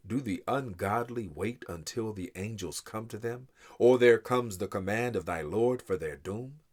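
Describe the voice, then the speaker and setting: A man reading translated scripture aloud in a solemn, measured and deliberate way, with dramatic emphasis and pauses. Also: lowish voice, a little mellow. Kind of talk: solemn scripture recitation in English.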